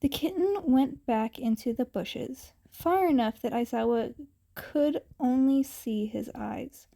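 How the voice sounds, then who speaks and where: A woman's voice: sits high, takes it slowly, creaky, warm tone. A woman reading scripted fan fiction as a storyteller.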